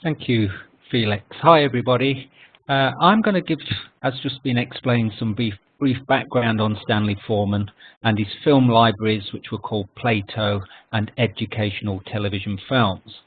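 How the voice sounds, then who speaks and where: A man giving a scripted history lecture with slides as a consultant, in formal, measured phrasing. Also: on the low side, breathy edge, no room sound. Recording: narrow phone-like online call audio.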